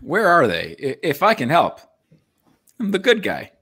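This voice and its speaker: A man's voice: a little high, wildly swooping pitch, measured pace, sharp tone, husky, no room sound, clean studio sound. A man reading a part and chatting casually at a live table read over a video call. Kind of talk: character reading and casual banter.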